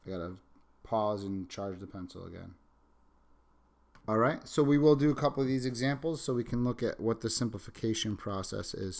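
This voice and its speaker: A man's voice: lowish voice, darkish tone. Sick man teaching calculus. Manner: talks through steps while writing, self-correcting asides. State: sick, sniffling. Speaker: math teacher. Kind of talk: recorded calculus lecture. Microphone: microphone.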